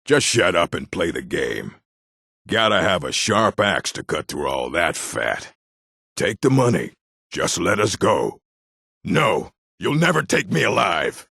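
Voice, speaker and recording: A man voicing a gruff, stern orc for a video game, with a gravelly, husky voice and a clipped, commanding manner. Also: low voice, very animated, unhurried, bright, forceful tone, dry recording, clean studio sound, exaggerated voice. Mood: gruff, hostile. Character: gruff male orc, stern bookish keeper and warrior. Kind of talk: video game character voice acting.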